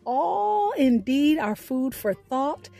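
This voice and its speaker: A woman hosting a radio show, reading scripted narration in a deliberate, measured way. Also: high voice, very animated, vocal fry, mellow. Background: music.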